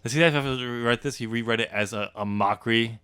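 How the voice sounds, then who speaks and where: A man's voice: mid-range voice, fast talker, smooth, no room sound. Dry-voiced joking male podcast host. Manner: laughs often, jokey and animated. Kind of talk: casual chat.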